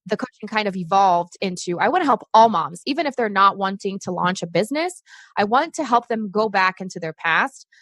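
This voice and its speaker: A woman's voice: medium pitch, animated, fairly quick, a bit bright, no room sound. Brazil-born woman, chatty earnest coach on a podcast, really dry mic. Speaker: podcast guest, success coach for moms. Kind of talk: casual interview chat.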